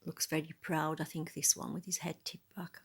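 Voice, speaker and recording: Husky, creaky-voiced woman reading a storybook. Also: low-pitched, level tone, normal speed. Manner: musing, tentative, conversational. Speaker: storybook reader. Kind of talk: reading a picture book aloud with casual, chatty asides about the illustrations.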